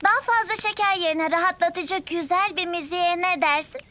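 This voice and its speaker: A woman's voice: very high voice, normal speed, pushed and bright, a little hiss or hum. A woman voicing a bossy, sensible little girl adventurer in a children's cartoon, mildly scolding. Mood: calm, organising. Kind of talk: children's cartoon dub acting. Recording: music bed under the voice.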